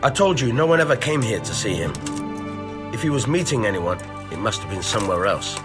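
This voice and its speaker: A man's voice: lowish voice, big pitch swings, brisk. A man acting a plain, helpful local in a video game, speaking courteously. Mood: matter-of-fact. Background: music.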